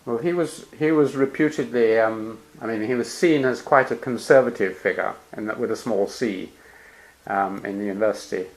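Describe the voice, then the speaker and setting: A man interviewing a guest, asking questions gently and filling pauses with murmured acknowledgements. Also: lowish voice, poor audio. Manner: gentle prompting with murmured "hmm" and soft laughs.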